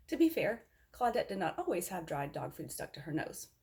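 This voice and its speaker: A woman reading a picture book aloud to children in an expressive storytelling style. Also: on the low side, brisk. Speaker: storybook reader.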